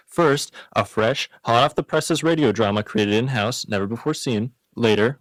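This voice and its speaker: A man's voice: mid-range voice, no room sound, low-quality recording. A man hosting a radio variety show, reading a florid, playful scripted introduction. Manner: florid, playful showman wording.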